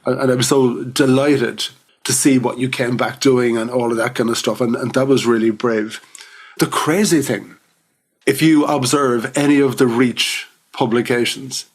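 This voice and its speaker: A mid-pitched man hosts a relaxed podcast interview. He talks in long, enthusiastic runs and laughs easily between points. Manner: chatty, enthusiastic, laughs often. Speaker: podcast host. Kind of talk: casual interview chat.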